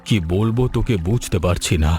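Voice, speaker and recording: Man voicing a humbled, low-pitched landowner. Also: normal speed, warm tone, a little hiss or hum, close to an everyday voice. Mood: remorseful. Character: remorseful older landowner, once proud, now humbled. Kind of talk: dramatic cartoon dialogue. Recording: music bed under the voice.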